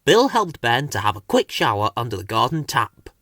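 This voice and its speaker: A man narrating a children's story as an expressive audiobook storyteller. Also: medium pitch, moderate pace, no echo, studio quality.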